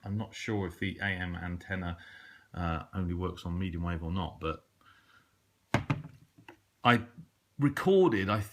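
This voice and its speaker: Low-pitched British man reviewing gear casually. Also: a bit bright. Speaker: hobbyist radio reviewer. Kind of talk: casual unscripted product review. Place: indoors at a table in a room.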